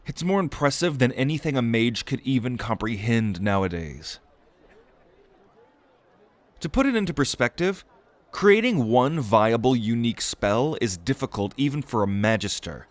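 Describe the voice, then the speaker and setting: A man's voice: mid-range voice, no room sound, lightly acted. A man playing an eager, proud young noble mage prince in an audio roleplay, speaking in a theatrical, earnest way. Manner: theatrical, earnest, grandly expository. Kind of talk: acted audio roleplay spoken to the listener.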